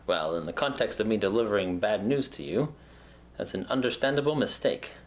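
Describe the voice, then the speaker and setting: A man's voice: on the low side, lively intonation, brisk, close to an everyday voice. A man playing a self-important, oblivious detective in a comedy audio drama, speaking in a pompous, theatrical way. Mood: smug, dramatic. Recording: echoey room, faint noise.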